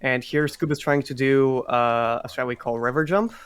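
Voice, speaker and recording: A man giving live explanatory speedrun commentary, halting and often repeating words as he explains. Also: medium pitch, fairly even intonation, clear, resonant tone.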